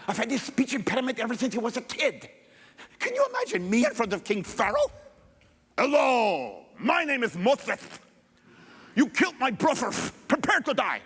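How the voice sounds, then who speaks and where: A high, nervous Moses with a Mexican accent and a speech impediment, as a man puts him on. He stammers and rushes his words. It sits in a hall with a long echo. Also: creaky, fairly bright tone, slightly rough, heavily acted. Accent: Mexican Spanish.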